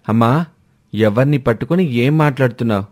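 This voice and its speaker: A man's voice: medium pitch, fairly quick, a little mellow, close to an everyday voice. Man doing a sarcastic son, mocking, wry, grown man.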